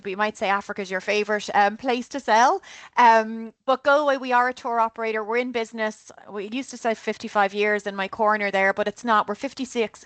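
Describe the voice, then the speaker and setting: A woman's voice: mid-range voice, fairly bright tone, no echo. Chatty Irish woman with a dry, close sound. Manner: chatty, keeps adding "okay?". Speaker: tour operator director of sales. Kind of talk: online group presentation.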